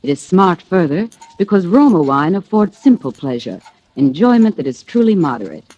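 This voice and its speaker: Female spokesperson, vintage radio advert, mellow and lively, muffled sound. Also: fairly low pitch, normal speed, soft background music. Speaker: commercial spokesperson. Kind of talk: scripted 1940s radio advertising. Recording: muffled 1940s radio recording.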